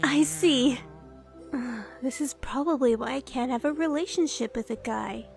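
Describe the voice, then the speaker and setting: An actress plays a kind young woman in an anime-style dub, extremely high-pitched and sweet. Her delivery is gentle and cutesy, turning hesitant and flustered easily, over a music bed. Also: measured pace, creaky. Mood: embarrassed.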